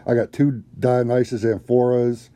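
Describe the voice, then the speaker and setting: A man chatting casually on a gaming stream, in a low-key, somewhat hesitant way. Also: flat delivery, moderate pace, mellow.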